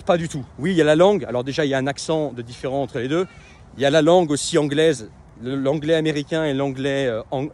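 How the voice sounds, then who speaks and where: A man's voice: fairly high pitch, darkish tone, a little hiss or hum. A man talking casually in a vlog, with lots of hesitations and restarts. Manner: conversational, many fillers and restarts. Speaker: web entrepreneur and coach.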